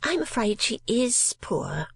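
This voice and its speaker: A woman voicing a mincing, genteel landlady of fifty in a storybook reading, with a high, breathy, prim and affected manner and dainty little coughs. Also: big pitch swings, takes it slowly, fairly bright tone, no echo, heavily acted. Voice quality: high and breathy, sometimes whispered.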